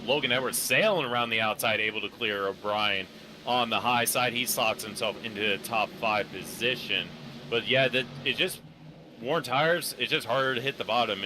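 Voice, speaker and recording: A man giving color commentary on a virtual truck race in a relaxed, easygoing way. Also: fairly low pitch, background noise. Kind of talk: live sim racing analysis.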